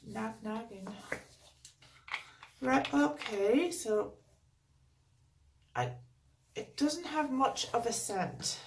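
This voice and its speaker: A woman from the North East of England speaks in a Geordie accent, her voice croaky and gravelly from a cold. She rambles in slow, unhurried talk full of fillers. The recording picks her up from a little distance, with faint noise behind her. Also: on the high side. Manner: chatty, rambling, lots of fillers. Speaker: beauty vlogger. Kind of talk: casual unboxing chat. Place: home.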